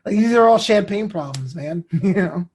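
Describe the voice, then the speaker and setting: High-pitched, husky American man. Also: moderate pace. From Brooklyn, United States. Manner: animated storytelling, acts out inner quotes. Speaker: interview guest, comedian and host. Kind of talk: candid conversational interview.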